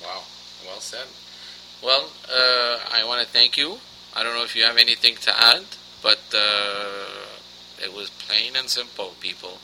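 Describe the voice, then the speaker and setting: A man's voice: mid-range voice, unhurried, creaky, noisy background. A man hosting a radio interview, asking questions and reacting briefly. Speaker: radio host.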